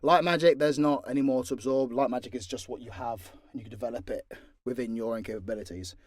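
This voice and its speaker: A man's voice: mid-range voice, fast talker, no echo, studio quality. A British man in a casual, unscripted Q&A as an audio creator, talking bluntly and swearing freely. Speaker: audio drama creator answering fan questions.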